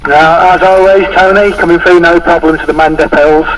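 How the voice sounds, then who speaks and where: A man's voice: fairly high pitch, fairly even intonation, brisk. A man chatting casually as a caller over a two-way radio. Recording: distant, noisy two-way radio transmission.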